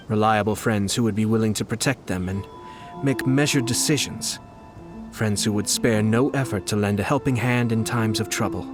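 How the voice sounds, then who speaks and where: A man playing a composed, calculating young nobleman in a video game, speaking in a measured, formal, deliberate way. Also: on the low side, close to an everyday voice. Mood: calm, knowing. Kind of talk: video game character dialogue. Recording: music behind the voice.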